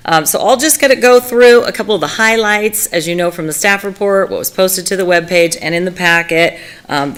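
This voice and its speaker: A woman, a municipal services director, presenting a staff report at a city council meeting, her voice hoarse from seasonal allergies and broken by throat clearing and fillers. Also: fairly low pitch, fairly bright tone.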